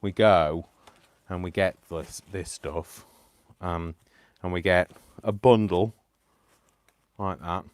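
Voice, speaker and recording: A man hosting a live crafting stream, chatting casually and poking fun at himself, tired and clearing his throat. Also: low voice, fairly even intonation, slow, no echo. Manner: chatty, self-deprecating, talks to himself. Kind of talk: rambling casual chat while crafting.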